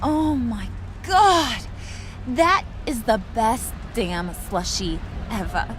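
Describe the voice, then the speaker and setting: A woman's voice: a little high, very animated, takes it slowly, music in the background. An actress voicing a flirty, menacing, unhinged female villain in a video game, with a teasing, slangy delivery. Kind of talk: animated video game acting.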